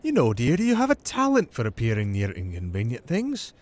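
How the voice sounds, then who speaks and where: A man's voice: medium pitch, wildly swooping pitch, a little fast. A man playing a menacing older noble father and schemer in a fantasy audio drama, mock-courteous and condescending. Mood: mocking menace.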